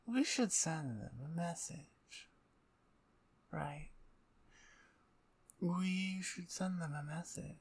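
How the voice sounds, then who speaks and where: A woman playing a menacing, playful vampire usurper in an ASMR roleplay, domineering and seductive, with breathy speech that often drops toward a whisper. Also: fairly low pitch, steady tone, a little mellow, full character voice. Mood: cold, threatening. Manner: slow, deliberate, domineering, teasing.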